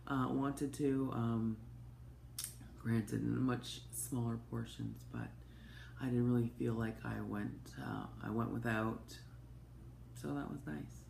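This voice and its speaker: A woman, hoarse from a cold, giving a chatty, open personal update to camera with plenty of ums and asides. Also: rumbling low, fairly even intonation, slow, darkish tone. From Canada. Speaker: vlogger. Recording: echoey room with faint noise.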